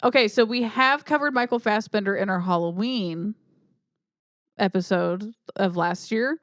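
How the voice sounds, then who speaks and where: A woman's voice: mid-range voice, unhurried, a bit bright, no echo, studio quality. Wry skeptical woman podcast co-host. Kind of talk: casual movie-review chat.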